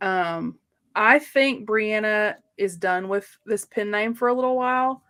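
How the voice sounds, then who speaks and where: A woman's voice: medium pitch, a little slow, fairly bright tone, clean tone. A woman co-hosting a casual live book chat, effusive and candid, rambling along with plenty of filler "likes". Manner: effusive, rambling, uses lots of "like". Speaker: co-host of a live book readalong.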